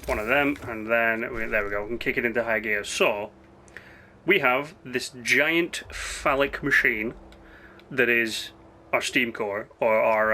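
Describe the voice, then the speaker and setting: Hoarse guy rambling through a gameplay commentary, bright edgy tone, faint hum behind. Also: mid-range voice. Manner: rambling and chatty, with frequent fillers and self-corrections. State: hoarse, partly lost voice. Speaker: gaming channel host. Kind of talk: casual let's-play commentary.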